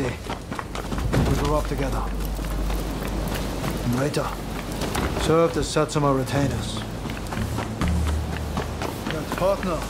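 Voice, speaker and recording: A man voicing an earnest, composed samurai retainer in a video game, speaking in a measured, formal, solemn way. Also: medium pitch, lightly acted. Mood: grave, guarded. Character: earnest, composed male samurai retainer, loyal to his comrade. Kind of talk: dramatic video game voice acting.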